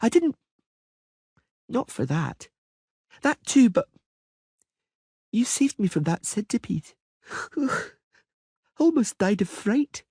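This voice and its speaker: A headstrong little princess, played by a man reading an audiobook, sits high and sings her complaints all over the place. Her voice is husky and a little whiny, bossy one moment and sulky the next. The sound is close and dry. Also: big pitch swings, warm tone, no room sound, exaggerated voice. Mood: chattering. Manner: petulant, demanding, quick to sulk. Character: headstrong, sniffly young princess girl. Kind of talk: audiobook character voice.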